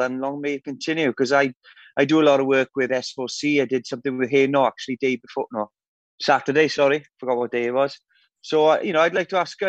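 A man's voice: mid-range voice, no echo, lo-fi sound. A Welsh-speaking man co-hosting a podcast over a video call, chatting casually and often stopping to restart his sentences. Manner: hesitant, many restarts and fillers. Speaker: podcast co-host. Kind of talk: casual chat.

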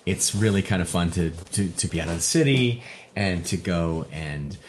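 Male theatre director as interview guest, low-pitched, chatty and jokey, halting delivery, some noise behind him. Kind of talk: casual interview chat. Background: puppy moving about at home.

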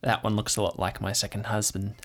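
A man acts out an older woman in an improvised audio drama. The voice is low and the manner dry and musing, as of someone who has seen several husbands. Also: level tone, brisk, fairly bright tone.

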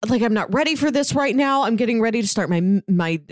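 A woman's voice: fairly high pitch, expressive, fast, no room sound. Casual woman podcaster, dry close sound. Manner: conversational, peppers talk with "like". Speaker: podcast co-host. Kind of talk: casual review chat.

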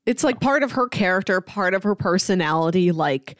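A woman's voice: mid-range voice, expressive, fairly quick, no echo, clean studio sound. A woman co-hosting a podcast, talking casually about films and comics. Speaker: podcast co-host. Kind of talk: casual film and comic discussion.

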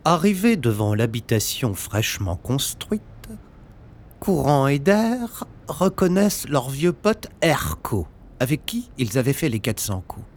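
A man reading a scripted children's story as an expressive narrator. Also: medium pitch, measured pace.

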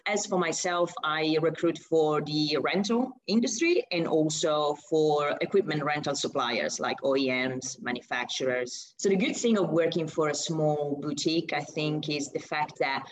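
A young woman from Italy speaks English with a clear Italian accent. Her voice sits low for a woman, and she answers in a chatty, conversational way, restarting phrases and filling pauses as she thinks. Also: low-quality recording. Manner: chatty, with frequent fillers and restarts. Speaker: guest, recruitment consultant. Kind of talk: casual interview answers.

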